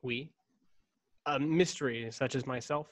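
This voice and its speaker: A man's voice: medium pitch, lightly acted. A man in his thirties playing a brooding, stiffly formal clone in a science-fiction radio play. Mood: guarded, formal. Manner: measured, stiffly formal diction. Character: brooding, formal young man, secret clone of noble family. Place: home room on video call. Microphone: desk condenser microphone, headset.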